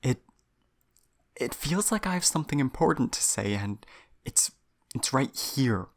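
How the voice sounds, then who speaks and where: An actor playing a troubled, hesitant space traveler facing death alone in an audio drama, haltingly searching for words. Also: mid-range voice, animated. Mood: anguished.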